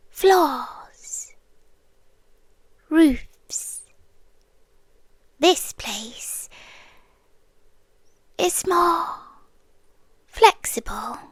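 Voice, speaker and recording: A British woman voicing a mysterious, whimsical otherworldly cartographer in an ASMR roleplay, soft and breathy, often slipping into whispers, with a deliberate, musing delivery. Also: high-pitched, no echo, heavily acted. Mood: soft, enigmatic. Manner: slow, deliberate, musing, with dramatic pauses. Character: mysterious otherworldly cartographer, whimsical, gently knowing keeper of maps.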